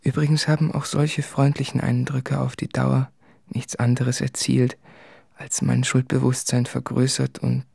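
Gravelly, subdued, guilt-ridden son. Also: male voice, medium pitch, monotone, measured pace, darkish tone, no echo, clean studio sound, exaggerated voice. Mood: wistful reproach. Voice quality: gravelly, husky, breathy. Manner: subdued, introspective. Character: brooding, guilt-ridden son addressing his father. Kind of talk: radio drama, literary monologue.

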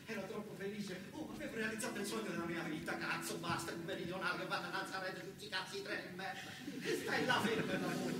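High-voiced southern Italian man, echoey stage storytelling. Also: very noisy. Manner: emphatic, repetitive, animated storytelling. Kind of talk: theatrical monologue in dialect-tinged speech, with folk song. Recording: echoey, distant stage recording with music.

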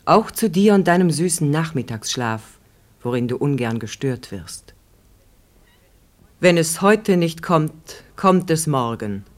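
An actor playing a solemn, admonishing voice that warns sleepers in measured, poetic radio drama recitation. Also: male voice, a little high, animated. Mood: solemn warning.

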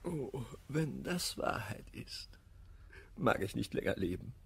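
Jilted, melodramatic gentleman, wildly swinging pitch. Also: sing-song, takes it slowly, vocal fry, slightly rough, heavily acted. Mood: stunned, despairing. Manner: theatrical, anguished verse declamation. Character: jilted, hot-tempered gentleman lover, wounded and melodramatic. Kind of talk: German radio play of classical verse comedy.

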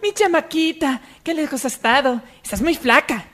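Woman acting excitable grumbling mother, mock Latin American accent, high pitch, parody radio soap. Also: normal speed. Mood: joyful, fussing.

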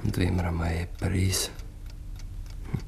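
An actor playing a restless, brooding village husband with a halting, musing delivery and a Bavarian accent, in 1950s radio play style. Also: male voice, low-pitched, flat delivery, vocal fry, darkish tone. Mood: proud, musing. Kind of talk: 1950s German dialect radio play acting. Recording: 1950s radio recording, dull, faint noise.

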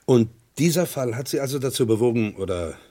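A man playing a composed, inquisitive questioner in a crime radio play, with a husky, measured delivery. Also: medium pitch, expressive, warm tone, natural voice. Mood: curious. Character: composed, probing male interviewer questioning a detective.

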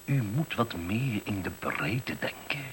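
An actor playing a pompous, stubborn official who blusters and falters when cornered, in a Dutch radio play. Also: male voice, fairly low pitch, very animated, slow, a little hiss or hum. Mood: self-assured. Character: pompous, stubborn official running an education experiment, easily rattled.

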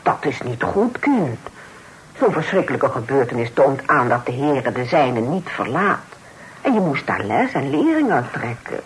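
A devout old aunt in a period radio drama, stern yet cordial and given to sermonizing. Her pitch rises and falls widely in formal, old-fashioned phrases, over a noisy old recording. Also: sing-song, measured pace, full character voice. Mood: earnest, pious. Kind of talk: Dutch radio play acting, period drama.

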